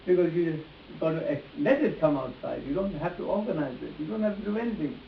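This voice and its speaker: A man's voice: fairly high pitch, expressive, quick pace, creaky. A man giving a live demonstration as an instructor, speaking emphatically and repeating phrases as he addresses volunteers directly. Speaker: face reading instructor. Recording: echoey distant room, muffled, hissy.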